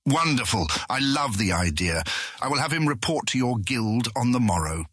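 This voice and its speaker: A genial fantasy guildmaster who sounds naive and entitled. His voice is bright and raspy, swinging widely in pitch as he breezily makes lordly demands. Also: very animated, normal speed, dry recording, clean studio sound. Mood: pleased, breezy. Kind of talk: fantasy audio drama acting.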